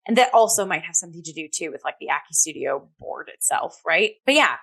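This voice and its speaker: Female talk co-host, slightly low register, effusive and halting, conversational reviewer. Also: expressive, creaky, fairly bright tone, husky. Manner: effusive, halting, heavy use of "like". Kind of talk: casual review chat.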